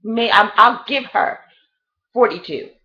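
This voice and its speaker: A woman's voice: fairly high pitch, a little slow, sharp tone, highs cut off. A woman in her late thirties giving a blunt, profane, rambling gossip review of a reality show in a casual chat. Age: 30s.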